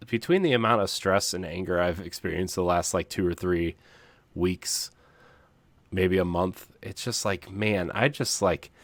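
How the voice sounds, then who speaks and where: A man with a low voice chatting as a podcast co-host. He speaks haltingly and confessionally, trailing off mid-thought. The recording is dry, right up on the mic. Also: no echo. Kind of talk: casual confessional chat.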